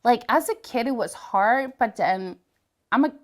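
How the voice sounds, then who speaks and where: A woman's voice: on the high side, expressive, a little slow. A woman in her thirties, a native Spanish speaker, hosting a casual interview and gushing with praise, with frequent "like" fillers. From Latin America, Spanish-speaking. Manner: effusive, many "like" fillers. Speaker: host.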